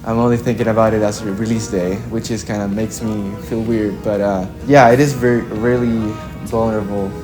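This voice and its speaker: Low-voiced young man. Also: little pitch movement, over music. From Chile. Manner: reflective, halting, with frequent "like" and "kinda". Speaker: musician being interviewed. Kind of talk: casual interview answers.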